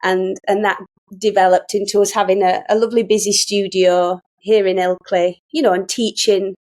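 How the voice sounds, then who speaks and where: A woman's voice: medium pitch, little pitch movement, moderate pace, a little mellow. Chatty middle-aged Yorkshire woman. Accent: Yorkshire English. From Yorkshire, England. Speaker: guest, sewing teacher. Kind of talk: casual conversational storytelling.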